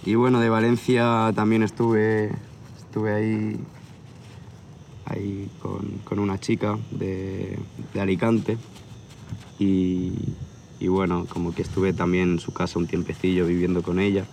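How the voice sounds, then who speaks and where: A man's voice: sits low, unhurried, gravelly, slight background noise. A man of about thirty from near Barcelona, a tattoo artist chatting casually and rambling a little in an outdoor interview. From from near Barcelona, Spain. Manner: relaxed, rambling, with hesitations. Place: outdoor hilltop viewpoint at sunset. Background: crickets and outdoor ambience.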